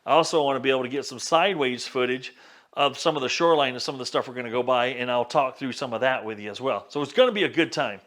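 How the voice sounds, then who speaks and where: A man's voice: medium pitch, fast talker, a bit bright. A man casually talking viewers through his boat gear setup in an upbeat, chatty way. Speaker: vlogger. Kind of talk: casual how-to vlog. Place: backyard workshop and boat.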